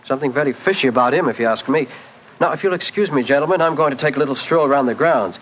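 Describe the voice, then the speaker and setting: Man playing brisk, suspicious English police sergeant. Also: mid-range voice, a bit bright, lightly acted. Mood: folksy, suspicious. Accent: British. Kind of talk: 1940s radio acting. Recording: dull, noisy 1940s radio recording.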